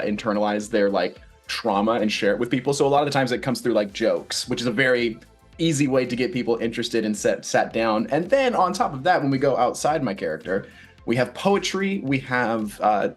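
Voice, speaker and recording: A man, an actor, talking casually in an interview with an animated, playful, enthusiastic manner. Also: mid-range voice. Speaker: stage actor being interviewed.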